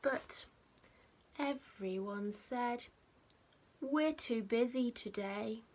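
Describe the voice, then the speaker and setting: A woman reading a picture book aloud to young children like a teacher, expressively, with dramatic pauses and stressed rhymes and sound words. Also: a little high, a little mellow. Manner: simple explanatory asides addressed to young children. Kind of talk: animated storybook read-aloud.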